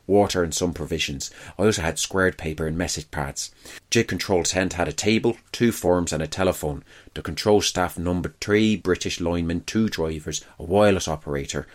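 A man reading a first-person wartime diary aloud as scripted narration. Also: low voice.

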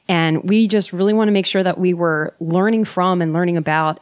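A woman's voice: fairly low pitch, darkish tone, no room sound, studio quality. A woman hosting a podcast in a casual, friendly, upbeat way. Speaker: podcast host.

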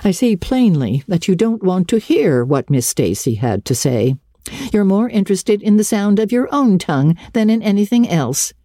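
A woman voicing a stern, practical older female guardian, brisk and no-nonsense, in a dramatic storybook reading. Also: mid-range voice, sing-song, mellow, dry recording, studio quality, pitched above the performer's own voice. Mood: reproving. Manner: brisk, matter-of-fact, no-nonsense. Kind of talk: dramatic audiobook reading of a classic novel.

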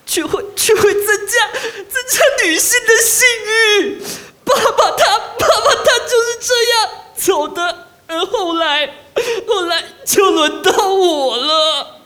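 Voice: mid-range voice, a bit bright, natural voice. A performer voicing the landlady's grown son in a student radio drama, confessing while laughing and crying at once. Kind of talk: amateur radio drama acting.

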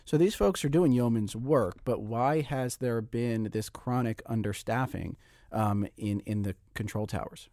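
Male co-host, warm dark tone, dry sound, conversational questioning. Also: mid-range voice, a little slow, dry recording. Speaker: co-host, interviewer. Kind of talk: conversational interview, relaying audience comments.

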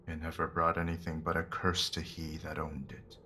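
Man playing a very deep-voiced, bitter captured criminal. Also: completely flat delivery, normal speed, bright, forceful tone, a little hiss or hum. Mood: bitter, brooding. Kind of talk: dramatised mystery audio drama.

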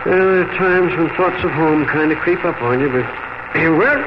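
An actor playing a hearty, folksy middle-aged husband who hides homesickness under blustering cheer, in a 1950 radio drama. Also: male voice, on the high side, moderate pace, close to an everyday voice. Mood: friendly, wistful. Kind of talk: 1950s radio drama acting. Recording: very noisy, muffled old radio broadcast.

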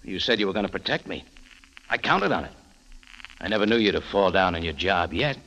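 An actor playing a tough, quick-witted adventurer who narrates his own story in a wry, clipped way, in old radio drama style. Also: low-pitched, lively intonation, very noisy. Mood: businesslike. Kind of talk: 1940s-50s radio drama acting. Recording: old radio recording, reverberant, music behind.

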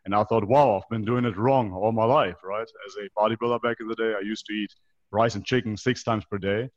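A man around thirty with a foreign European accent and a fairly low voice. He speaks as an interview guest, explaining things from his own life in a conversational way. The sound is dry and somewhat dull, like an online call. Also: fairly even intonation, a little fast, no room sound. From North Macedonia, raised in Germany. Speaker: podcast guest. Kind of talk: conversational interview, personal account.

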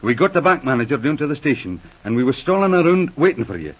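A husky, folksy city cop. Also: male voice, medium pitch, very animated, quick pace. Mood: amazed, excited. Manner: colloquial, folksy. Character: folksy, excitable city policeman, talkative and colloquial. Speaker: actor in a radio serial. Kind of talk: 1940s radio acting. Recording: dull lo-fi vintage radio recording.

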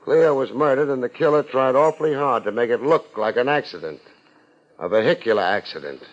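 A man's voice: medium pitch, brisk, lightly acted. An American man playing a hard-boiled, wisecracking private investigator in a 1950s radio drama, sardonic and unruffled. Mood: needling, skeptical. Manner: sardonic, unruffled, wry first-person narration and blunt questioning. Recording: muffled 1950s radio recording with music.

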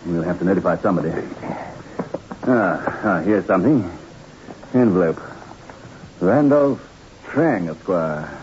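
A practical radio-play doctor with a low voice. He is inquiring and reassuring, with lively intonation. The old recording is dull, with slight noise. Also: unhurried, long pauses. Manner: inquiring, matter-of-fact. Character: brisk, reassuring male doctor, practical and calm. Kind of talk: 1960s radio drama acting.

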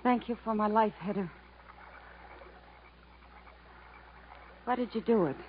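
A woman's voice: mid-range voice, fast, natural voice. An actress playing a shaken but brave woman stranded in the jungle, earnest and plaintive in 1950s radio acting style. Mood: plaintive, weary. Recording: narrow, faintly noisy vintage radio recording.

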